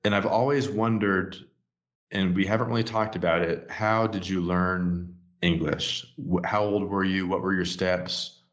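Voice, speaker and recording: Male interviewer, deep voice, conversational questioning, lo-fi recording. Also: fairly even intonation, unhurried. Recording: dull, lo-fi audio.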